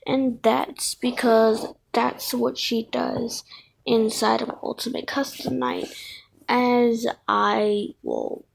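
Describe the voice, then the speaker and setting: A woman gives casual commentary as a video creator, in a fairly high voice with a creaky edge. She takes her time, rambling and thinking aloud as she corrects herself. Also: sing-song, takes it slowly, a little hiss or hum, poor audio. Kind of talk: casual fan commentary on game characters.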